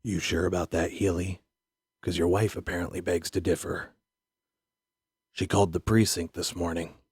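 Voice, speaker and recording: A man acting out a stern, weary police officer in an audio drama. His voice is very low and slightly husky. He delivers pointed, lecturing warnings with little rise or fall. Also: little pitch movement, moderate pace, darkish tone, no room sound, clean studio sound, full character voice.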